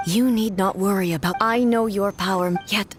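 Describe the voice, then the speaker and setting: An actress playing a dignified female warrior deity in a video game, with a measured, formal and earnest delivery. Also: mid-range voice, fairly even intonation, lightly acted. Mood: solemn. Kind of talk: dramatic video game acting. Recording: music behind the voice.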